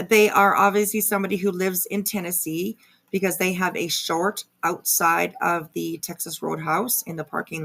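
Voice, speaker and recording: A woman's voice: medium pitch, creaky, fairly bright tone. A woman giving casual live commentary as a stream host, rambling and sardonic, with a confrontational edge. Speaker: livestream host reacting to another creator's video.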